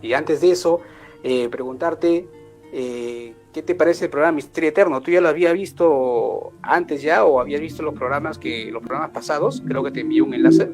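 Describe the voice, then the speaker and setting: A man's voice: on the high side, normal speed, faint music behind. A man from Peru hosting a live online mystery show, welcoming a guest with many "eh" and "este" fillers. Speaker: host. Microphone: video call.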